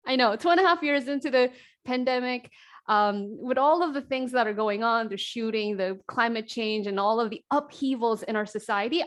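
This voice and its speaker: A woman in her forties with a voice pitched a little high hosts an online coaching call. She talks in a lively, casual way, full of "like" and "uh", into a computer microphone. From South Korea, raised in New Jersey. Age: 40s. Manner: energetic, conversational, frequent fillers and likes. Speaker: executive coach, host.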